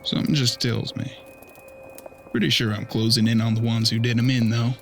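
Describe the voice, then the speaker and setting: An actor voices a frontier investigator in a western audio story. He is a plainspoken, easygoing man with folksy manners and a middling pitch. Music sits clearly behind his words. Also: level tone, moderate pace, lightly acted. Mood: casual, probing. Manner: plainspoken, polite, folksy western drawl phrasing. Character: folksy, easygoing young frontier detective riding alone. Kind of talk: western audio drama acting.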